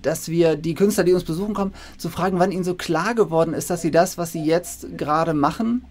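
A woman hosting a conversational interview over a video call, phrasing her question haltingly. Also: fairly low pitch, darkish tone, a little hiss or hum. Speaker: interview host. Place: indoor room, video call.

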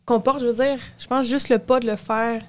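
A young woman with a Québécois French accent talks in a slightly raised pitch as a co-host on a small studio podcast. She thinks aloud, trailing phrases with fillers. She is recorded on a boom-arm studio mic. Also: fairly even intonation, a little fast. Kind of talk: casual podcast discussion. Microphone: studio mic on boom arm, headphones.

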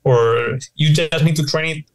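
A man's voice: fairly high pitch, a little slow. Rambling man on a podcast. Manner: rambling, many "like" fillers. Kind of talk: casual discussion.

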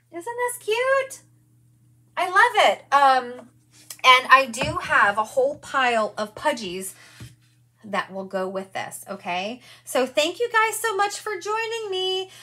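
A woman's voice: sits high, bright, forceful tone. A woman in her forties hosting a live craft demonstration from her studio, chatty and gushing, greeting viewers by name as she works.

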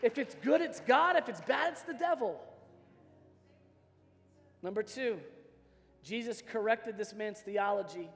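A man's voice: sits high, expressive, a little slow, pauses often, faint music behind, some chatter behind. A middle-aged American man preaching a personal, emphatic sermon with dramatic dynamics, as a pastor on a large church stage. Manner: emphatic, dramatic swings from hushed to shouting. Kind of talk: impassioned sermon with personal storytelling. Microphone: worn microphone, hands free. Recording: reverberant large hall sound system.

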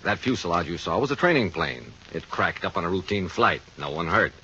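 A low-voiced American male insurance executive talks with bright edge and patronizing polish. It is vintage radio drama acting. The recording is dull and faintly noisy. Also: sing-song, brisk, exaggerated voice. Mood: affable, patronizing.

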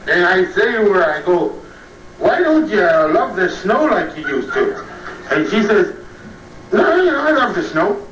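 An actor playing a jaded, boozy old children's storyteller on a TV comedy sketch, halting, slurred and muddled, often trailing off. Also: male voice, sits high, big pitch swings, far from the mic, lo-fi sound. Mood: muddled, rambling. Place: TV studio living-room set. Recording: noisy, roomy old TV tape, off mic.

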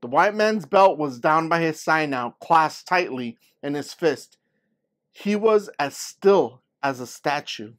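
A man's voice: a little high, expressive, slow, fairly bright tone. Man reading as a dramatic, earnest young girl storyteller. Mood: earnest, suspenseful. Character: young girl narrating her frightening childhood story, earnest. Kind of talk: scripted picture-book read-aloud.

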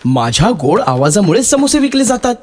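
A man voicing a selfish, cocky young village samosa seller in a children's story, with drawn-out, emphatic delivery. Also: high-pitched, sing-song, pitched above the performer's own voice. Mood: greedy, resentful.

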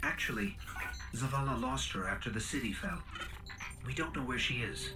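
A woman's voice: very deep voice, sing-song, moderate pace, distant mic, music in the background, rough recording. A woman speaking earnestly in a video game cutscene, precise and formal.

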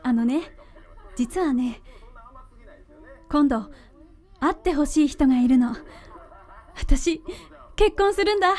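A woman's voice: sits high, animated, takes it slowly, pauses often, darkish tone. An actress playing a sweet, affectionate young woman, a trusting little sister, in a radio drama. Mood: shy, happy. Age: young adult.

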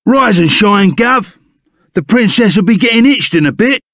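A man voicing a big, gruff, good-hearted bandit sidekick in a video game, with a gravelly Cockney accent and a blunt, chummy way of talking. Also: sits high, lively intonation, moderate pace, fairly bright tone, dry recording, clean studio sound.

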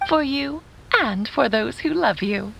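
A woman's voice: sits high, animated, slow pace, gravelly, background noise, heavily acted. A woman playing a whimsical, loving sorceress mother in a video game, speaking tenderly like a storyteller. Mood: tender, nostalgic.

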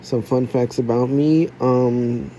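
A teenage young man from the United States giving an earnest, deliberate self-introduction as a contestant. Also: mid-range voice, steady tone, mellow, slight background noise, poor audio. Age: teens.

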